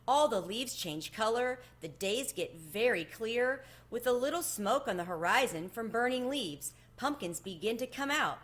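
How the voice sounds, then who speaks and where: A woman voicing a gentle, homesick male cricket in a storybook, earnest and wistful in manner. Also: on the high side, lively intonation, unhurried, hardly any pauses, voice pushed up. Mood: weary, wistful.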